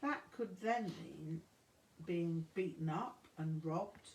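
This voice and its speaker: A woman's voice: low-pitched, slow, a little mellow, a little hiss or hum. A woman reading a memoir aloud as scripted narration, voicing the dialogue within it. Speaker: audiobook reader. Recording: roomy, echoing room sound.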